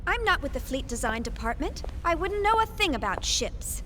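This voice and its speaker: A woman's voice: sits high, very animated, moderate pace, noisy background. An actress playing a stubborn young civilian woman engineer in a sci-fi video game, speaking earnestly and emphatically over music. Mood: defensive, worried. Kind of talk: English-dubbed sci-fi video game cutscene acting. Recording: loud music behind the voice.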